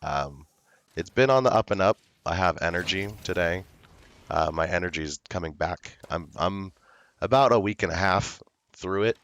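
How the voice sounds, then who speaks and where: Hoarse, gravelly deep-voiced man. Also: lively intonation, a bit bright, no room sound. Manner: slow, rambling, laid-back talk. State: hoarse, recovering from illness. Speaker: game streamer. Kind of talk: casual livestream chat.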